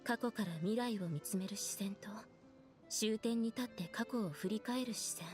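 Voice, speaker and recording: An actress playing a solemn, gentle elder sister in a fantasy game, speaking in a measured, grave way. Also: female voice, medium pitch, soft background music, close to an everyday voice. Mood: calm, reflective. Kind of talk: Japanese game voice acting.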